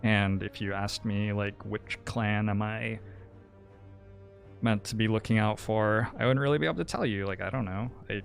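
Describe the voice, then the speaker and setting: Man, low pitched, gravelly, thoughtful and hesitant, casual stream chat, faint music behind. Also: normal speed. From New Zealand. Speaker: game streamer.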